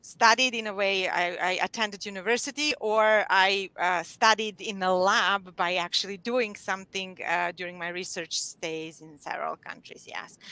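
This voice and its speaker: A woman's voice: mid-range voice, pushed and bright, clear, resonant tone, no echo. A woman from Serbia with a Serbian accent, explaining her research on a video call with many hesitant restarts. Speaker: lipid scientist panelist. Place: small indoor room. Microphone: headset on video call.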